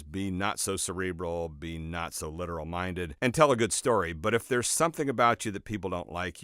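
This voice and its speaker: Low-voiced man reading an audiobook, dry sound. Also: a bit bright, no echo. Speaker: author reading own audiobook. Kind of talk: scripted narration, audiobook reading.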